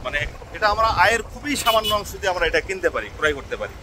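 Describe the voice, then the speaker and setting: A man in his thirties talking into a phone held at arm's length on a village road, thinking out loud and rambling with restarts. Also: high voice, sing-song, rapid-fire. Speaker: vlogger. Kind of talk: casual reflective monologue. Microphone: phone selfie camera at arm's length. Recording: noisy outdoor phone recording.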